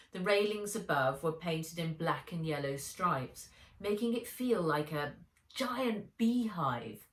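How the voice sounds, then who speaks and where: A woman author reading her children's adventure book aloud in an expressive storytelling style. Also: lowish voice, recorded from a distance. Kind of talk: expressive children's audiobook narration. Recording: roomy, echoey room sound.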